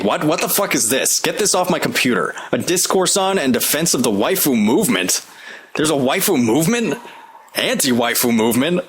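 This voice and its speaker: A man narrating a comedic video essay in a sarcastic, profane, rambling way, full of asides. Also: medium pitch. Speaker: online video commentator. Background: background music.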